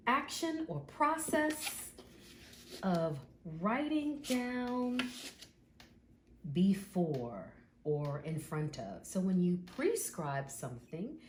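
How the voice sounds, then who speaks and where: A woman teacher explaining word roots slowly and patiently, thinking aloud and repeating the words she explains. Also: medium pitch, a little mellow, roomy sound, a little hiss or hum. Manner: slow, patient, drawn-out explaining.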